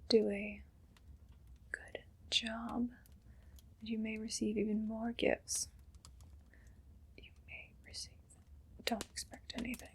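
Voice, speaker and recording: A woman playing a haughty, condescendingly kind evil queen in an ASMR roleplay, speaking in a breathy whisper with slow, deliberate, imperious delivery. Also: medium pitch, heavily acted.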